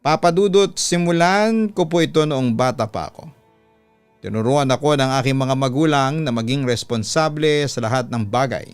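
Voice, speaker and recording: Filipino guy radio host reading out a letter story, clean smooth voice, dry close mic, soft music behind. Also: medium pitch, moderate pace, no room sound. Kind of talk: dramatic reading of a listener's letter. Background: background music.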